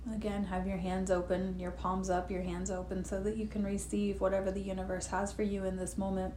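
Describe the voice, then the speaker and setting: A woman's voice: medium pitch, completely flat delivery, a little fast. Sniffly woman guiding meditation. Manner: gentle, rambling, with personal asides. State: sniffly from allergies. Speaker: meditation guide. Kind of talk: guided meditation talk. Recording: echoey room with faint noise.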